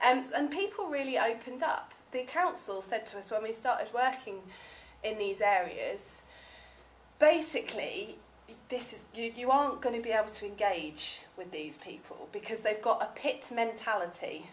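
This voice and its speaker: A woman's voice: fairly high pitch, lively intonation, normal speed, a little hiss or hum. A young woman with a southern English accent giving a chatty conference talk in a seminar room, full of ums, recorded from a far camera. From southern England. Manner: chatty, many ums, gestures while speaking. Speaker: academic researcher presenting. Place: seminar room with projector screen. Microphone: far camera, room audio.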